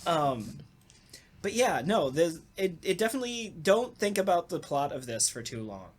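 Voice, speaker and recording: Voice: mid-range voice, sing-song, normal speed. A podcast co-host chatting casually about a radio play, rambling along with wry humor.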